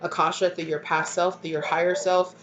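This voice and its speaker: A woman's voice: fairly low pitch, flat delivery, a little fast, a crowd in the background. A woman with a stuffy, congested voice giving a channeled tarot reading. She rambles and keeps checking in with "okay?" and "right?". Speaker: tarot reader. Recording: dull lo-fi home recording, faint noise. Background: dogs barking at times.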